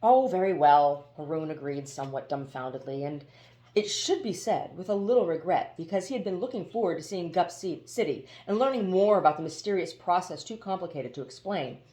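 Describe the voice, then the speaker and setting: A woman with a slightly low voice narrates a storybook. Her reading is expressive, with very lively intonation that rises and falls across phrases. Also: a little fast, echoey room. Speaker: audiobook reader.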